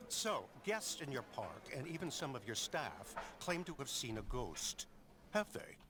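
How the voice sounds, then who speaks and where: Pompous, sneering government investigator. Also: male voice, medium pitch, big pitch swings, a little slow. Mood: smug, official. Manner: pompous, condescending. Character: officious, sneering male government investigator, middle-aged, hostile. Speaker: game character, government agent. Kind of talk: scripted game voice acting. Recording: game dialogue over park ambience noise.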